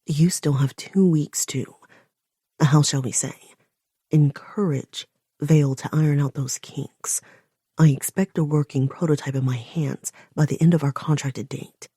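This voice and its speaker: A woman's voice: fairly even intonation, a little slow, dark tone, breathy edge, no room sound, studio quality. A woman voicing a slick purring male villain. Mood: slick, menacing. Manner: low purring, half-whispered menace. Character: slick menacing male blackmailer, smooth and insinuating.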